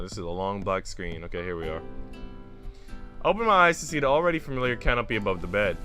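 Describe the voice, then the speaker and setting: A man streaming a visual novel, switching between casual slangy chat and reading the story aloud to his viewers. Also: on the low side. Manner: slangy, animated storytelling in asides. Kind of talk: casual livestream chat mixed with read-aloud narration.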